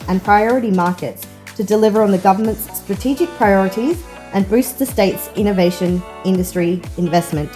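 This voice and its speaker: A woman host with a mid-pitched voice reads a measured, scripted opening and sponsor message, then moves into interview questions. Soft music plays faintly behind her. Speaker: podcast host.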